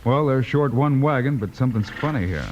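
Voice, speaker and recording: Guy playing an old west marshal, brisk take-charge hero, muffled hissy old radio serial sound. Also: mid-range voice, expressive, darkish tone, natural voice. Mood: thoughtful. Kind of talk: old-time western radio drama acting. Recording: dull, hissy vintage radio recording.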